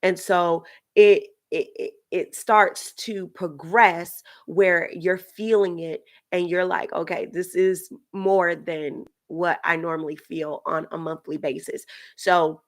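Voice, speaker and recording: A woman with a raspy voice from getting over a cold, giving a casual, chatty childbirth lesson with many fillers. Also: on the low side, level tone, slow pace. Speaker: childbirth educator. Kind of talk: casual instructional podcast talk.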